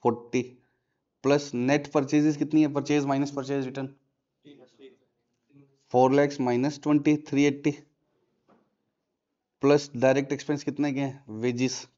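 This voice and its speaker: A man teaches a classroom lesson, stopping again and again to put questions to his students. His voice sits at an ordinary pitch. The recording sounds muffled and lo-fi. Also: mellow. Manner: quizzing students with repeated questions. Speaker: commerce teacher. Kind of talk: classroom lecture.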